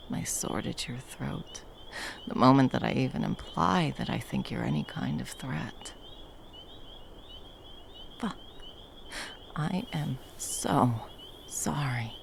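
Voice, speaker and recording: Girl voice acting a tough monster hunter lady, slow gravelly talk, intimate asmr roleplay, protective lover, some background noise. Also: very deep voice, full character voice. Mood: guilty, tender. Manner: intimate, sweary, teasing and soothing endearments. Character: battle-hardened female monster hunter, violent yet tenderly protective lover. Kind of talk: ASMR roleplay, intimate scripted monologue addressed to the listener.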